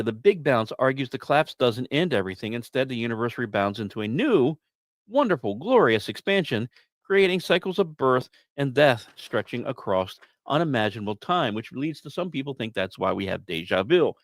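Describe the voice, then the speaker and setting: A man's voice: mid-range voice, sing-song, fairly quick, no room sound. A man hosting a podcast, narrating scripted science news with wry, self-deprecating asides and theatrical emphasis. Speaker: podcast host.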